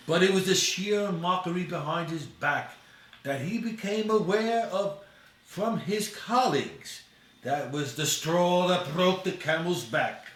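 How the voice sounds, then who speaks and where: A man's voice: fairly high pitch, fairly bright tone, a little hiss or hum. A man reading a short story aloud in a theatrical, declamatory storybook style. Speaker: author reading his own short story. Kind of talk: dramatic storybook narration. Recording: echoey room, distant from mic.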